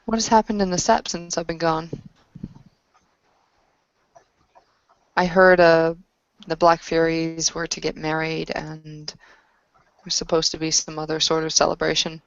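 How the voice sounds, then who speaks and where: A woman playing a battle-hardened, solemn werewolf warrior back from exile in a tabletop roleplaying game, telling her story deliberately. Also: deep voice, level tone, no room sound, highs cut off. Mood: measured, curious.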